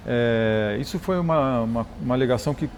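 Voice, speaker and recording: A Brazilian man, a motorcycle engineer and rally champion, telling stories in a relaxed interview, often restarting words and adding "né?". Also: medium pitch, a little fast, slight background noise. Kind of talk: conversational interview storytelling.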